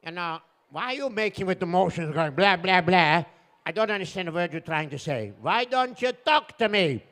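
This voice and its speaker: A man's voice: high-pitched, lively intonation, moderate pace, a bit bright. A man voicing a hard-of-hearing bus driver, puzzled and stubborn, arguing in broken grammar for a told story. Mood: indignant, puzzled. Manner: broken grammar, bewildered, argumentative.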